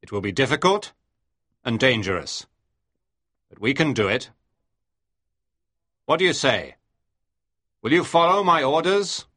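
A woman voicing a stern, steady male ship captain in a storybook reading, speaking firmly. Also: rumbling low, unhurried, long pauses, no echo, clean studio sound, pitched below the performer's own voice, exaggerated voice. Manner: firm, commanding.

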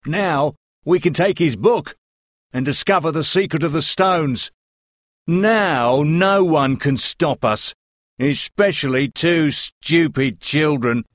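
A man's voice: on the high side, slow pace, no echo, studio quality. A man playing a scornful, gloating criminal in a children's audiobook. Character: gloating, scornful male criminal, housekeeper's grown son.

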